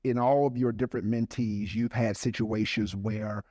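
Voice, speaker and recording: Emphatic man hosting with a low voice. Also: steady tone, normal speed, dark tone, no room sound, clean studio sound. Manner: emphatic, enthusiastic. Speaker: podcast host. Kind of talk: interview hosting.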